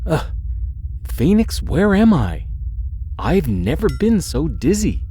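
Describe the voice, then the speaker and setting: A woman playing a groggy, hesitant spacefaring heroine in an audio drama, halting and uncertain. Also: low voice, sing-song, mellow, a little hiss or hum, heavily acted.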